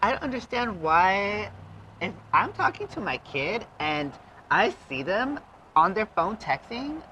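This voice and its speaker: A man's voice: high-pitched, very animated, measured pace, bright, forceful tone, a little hiss or hum, poor audio. A man co-hosting a casual reality TV recap, emphatic and acting out what others said. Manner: emphatic, acts out quotes. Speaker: podcast co-host.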